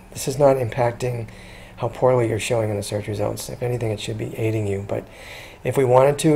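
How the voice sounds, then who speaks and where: A man talking casually through a screen-recorded walkthrough as a consultant, chatty and relaxed, with frequent ums and restarts. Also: mid-range voice, a little hiss or hum. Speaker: SEO consultant pitching a business.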